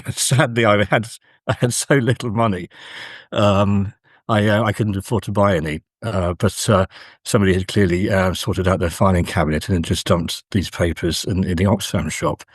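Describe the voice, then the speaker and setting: Very low-pitched middle-aged man, halting delivery. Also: fairly even intonation, breathy edge, no echo, studio quality. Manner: hesitant, halting delivery with frequent pauses. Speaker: guest academic, university professor. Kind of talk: conversational interview answers.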